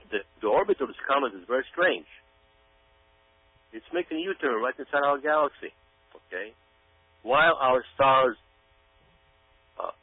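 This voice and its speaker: A man delivering an emphatic, dramatic commentary to listeners, repeating himself for effect. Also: medium pitch. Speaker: guest commentator. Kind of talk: commentary monologue addressed to listeners. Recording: tinny phone-like radio sound with hum.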